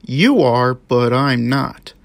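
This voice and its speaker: A gruff grown-up cartoon rabbit uncle, part machine played by a woman. The voice is pushed low and moves slowly, terse, self-conscious and reluctant. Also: no echo, exaggerated voice. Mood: reluctant, glum. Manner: terse, reluctant, flat-toned. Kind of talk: amateur cartoon voice acting.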